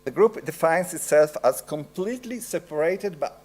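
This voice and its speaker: A middle-aged man with an Italian accent giving an academic lecture, slow and halting with frequent pauses. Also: a little high, expressive, a little mellow.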